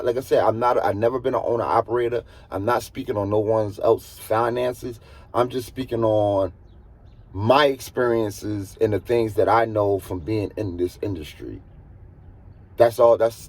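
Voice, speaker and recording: A man with a husky voice, talking casually as a truck driver giving earnest, emphatic advice and repeating points for stress. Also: lowish voice, sing-song, moderate pace, a little mellow. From United States. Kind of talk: casual advisory monologue.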